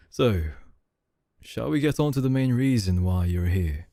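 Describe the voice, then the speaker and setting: A man playing a suave, dominant, flirtatious CEO in an intimate ASMR roleplay, speaking in a teasing, deliberate way. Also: dark tone, dry recording, studio quality. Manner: slow, teasing, deliberate, with low chuckles. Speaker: ASMR voice actor.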